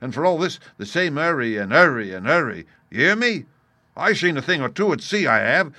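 A man voicing a scheming, swaggering pirate ringleader in a storybook, speaking forcefully in rustic seafaring dialect. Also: a little high, very animated, brisk, dry recording, pitched above the performer's own voice, heavily acted. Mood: scornful, boastful. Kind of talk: dramatised storybook reading.

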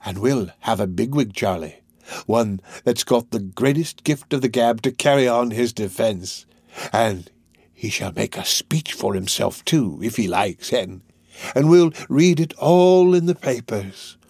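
A man voicing a wily, quick-tempered old gang master in a storybook reading, with a drawn-out, coaxing delivery. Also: mid-range voice, animated, darkish tone, slightly rough, dry recording, clean studio sound. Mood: gleeful. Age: older.

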